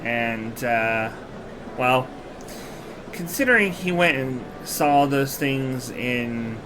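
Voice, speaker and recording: Slow, hesitant man. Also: medium pitch, background noise. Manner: hesitant, halting. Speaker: game voice dubber. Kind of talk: fan dub of a game.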